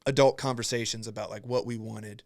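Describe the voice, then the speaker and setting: A man's voice: medium pitch, fairly even intonation, brisk, a little mellow, no echo, clean studio sound. A young man hosting a podcast, telling rambling, profane stories in casual chat and acting out what others said. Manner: profane, rambling storyteller who acts out quotes. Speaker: podcast host.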